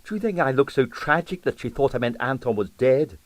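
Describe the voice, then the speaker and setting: A man's voice: mid-range voice, expressive, a little fast, no echo, natural voice. A man reading the part of a candid, mischievous young ballerina in an audiobook, confiding and wry. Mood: wry, indignant.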